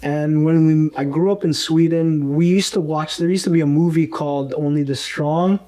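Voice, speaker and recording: A young man with a fairly high voice talks loosely in a relaxed interview. He wanders through long sentences and leans on fillers as he goes. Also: moderate pace. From Uganda. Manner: rambling, with frequent fillers. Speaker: guest fighter and coach. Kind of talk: casual conversational interview.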